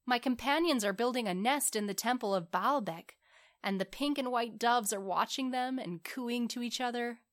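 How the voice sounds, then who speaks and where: A woman's voice: fairly high pitch, normal speed, voice pushed up, close to an everyday voice. A woman voicing a small, kind-hearted, devoted male swallow in a storybook, speaking earnestly and tenderly. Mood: tender, wistful. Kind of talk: storybook character voice.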